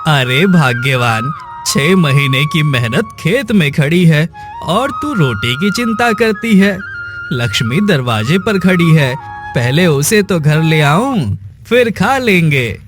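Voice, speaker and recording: A man's voice: on the high side, animated, takes it slowly. A man playing an honest, hardworking poor farmer in a cartoon moral story, speaking earnestly. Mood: earnest, kindly. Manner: earnest, pleading when accused. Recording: music behind the voice.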